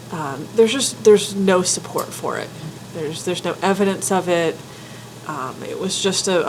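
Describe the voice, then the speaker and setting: A woman's voice: lowish voice, slight background noise, poor audio. Stuffy-nosed woman giving a casual lecture. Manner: careful, candid, frequent ums and restarts. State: stuffy from a head cold. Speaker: folklore writer and teacher. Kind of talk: informal spoken lecture.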